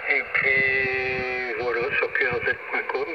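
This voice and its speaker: A man's voice: medium pitch, completely flat delivery, slow pace. An older man chatting as a radio amateur over a shortwave link, rambling casually and correcting himself. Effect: shortwave radio transmission. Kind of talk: casual ham radio chat. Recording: muffled, very noisy shortwave radio reception. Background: loud radio noise.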